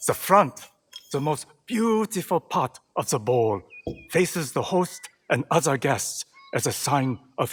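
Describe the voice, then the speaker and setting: An actor playing a serene older Japanese tea master in a game cutscene, with gentle, deliberate, measured phrasing. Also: male voice, a little high, slightly rough, full character voice. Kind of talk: video game cutscene acting.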